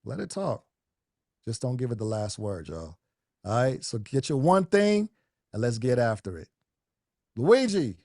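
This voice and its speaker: A man's voice: medium pitch, big pitch swings, unhurried, pauses often, a little mellow, no echo, clean studio sound. A man giving a motivational team talk on a video call, informal and emphatic, with slangy asides. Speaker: team training speaker and coach.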